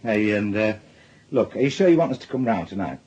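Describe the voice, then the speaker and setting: A man's voice: lowish voice, very animated, fairly quick, a little mellow, dull audio. An actor playing a furtive, placating salesman, talking hesitantly in a naturalistic radio drama. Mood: awkward.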